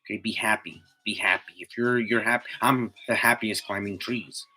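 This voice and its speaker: Bright, forceful young man chatting live. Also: on the low side, steady tone, moderate pace, slightly rough. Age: 20s. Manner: slangy, motivational, repeats himself for emphasis. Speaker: livestream host, tree climber. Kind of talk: casual live chat with viewers.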